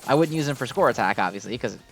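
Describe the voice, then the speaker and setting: A man's voice: mid-range voice, animated, racing, a bit bright, soft background music. A man giving casual, unscripted gameplay commentary in a rambling, informal, self-deprecating way. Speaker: gaming video host.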